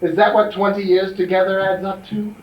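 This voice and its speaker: A man's voice: high-pitched, level tone, brisk, close to an everyday voice. A man playing a middle-aged drag diva, wounded and grandiose, declaiming theatrically in stage musical comedy. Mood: wounded, melodramatic. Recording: distant echoey dull stage recording, noisy.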